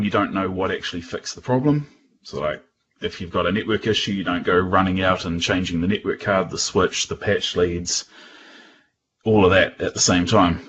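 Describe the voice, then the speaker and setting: Low-voiced New Zealand man, muffled recording. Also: a bit bright, a little hiss or hum. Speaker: guest presenter at a tech user group. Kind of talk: informal technical presentation.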